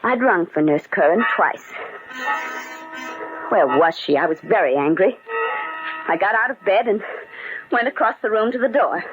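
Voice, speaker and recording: A woman's voice: mid-range voice, expressive, normal speed, long pauses, close to an everyday voice. An actress playing a distraught, fragile young mother losing her mind, in 1940s radio drama style with intense, theatrical narration. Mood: haunted. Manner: theatrical, breathless pleading and intense brooding narration. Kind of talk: 1940s radio drama acting, first-person suspense narration. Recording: dull 1940s radio recording with music behind.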